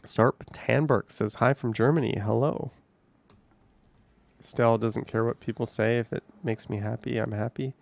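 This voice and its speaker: A man's voice: medium pitch, fairly even intonation, a little slow, creaky, a little mellow, dry recording. A man hosting a casual livestream from home, chatting loosely with his viewers in a rambling, easygoing way. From Canada. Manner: rambling, chatty, laughs easily. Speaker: livestream host reading chat.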